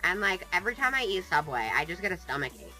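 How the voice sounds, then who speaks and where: A very bright, sniffly voice from a vlogger fighting a cold, talking into a desk mic. The chat is playful and sarcastic and wanders from one aside to the next. Faint music plays underneath. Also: mid-range voice, big pitch swings, fast, low-quality recording. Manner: playful, jokey, sarcastic, drifts off topic. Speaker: gaming YouTuber. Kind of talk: casual rambling vlog chat.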